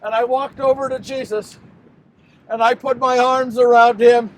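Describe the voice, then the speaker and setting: Guy doing a guilt ridden biblical betrayer, really high pitched for a man, dramatic stage monologue, impassioned with big pauses. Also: level tone, slight background noise, poor audio. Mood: somber, haunted. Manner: impassioned, dramatic pauses, swings from hushed to shouting. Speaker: actor performing a dramatic monologue. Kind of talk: theatrical first-person biblical monologue.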